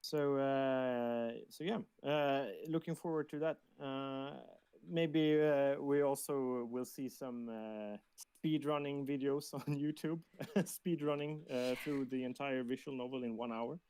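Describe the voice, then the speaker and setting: A man's voice: mid-range voice, fairly even intonation, slow pace, darkish tone, clear, resonant tone, dry recording. Hesitant man with a close, dry sound. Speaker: livestream co-host reading chat questions. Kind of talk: casual chat.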